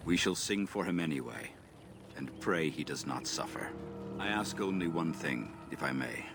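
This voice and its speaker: A man playing a solemn elven clansman in a fantasy game, speaking gravely and formally in a gravelly voice over music. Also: lively intonation, normal speed, noisy background, exaggerated voice. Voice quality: deep, gravelly. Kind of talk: fantasy video game acting.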